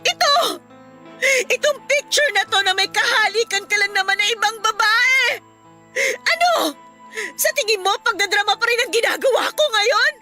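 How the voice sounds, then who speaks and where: Heartbroken girlfriend, radio drama, piercingly high voice, pleading, emotional, music underneath. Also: lively intonation, normal speed, pushed and bright, full character voice. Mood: anguished, angry. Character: heartbroken, long-suffering young girlfriend, clinging then fed up. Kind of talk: Tagalog radio drama acting. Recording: music behind the voice.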